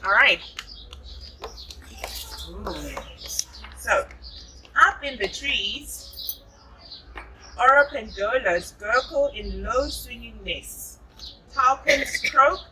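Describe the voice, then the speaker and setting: A woman's voice: on the high side, animated, drawn-out words, pauses often, bright, forceful tone, slight background noise. A woman reading a picture book aloud to children, patient and encouraging, adding chatty asides and echoing what they say. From South Africa. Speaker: storybook reader.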